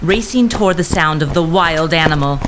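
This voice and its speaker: Low-pitched woman narrating suspenseful drama. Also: animated, normal speed, background noise. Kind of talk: dramatic scripted storytelling narration.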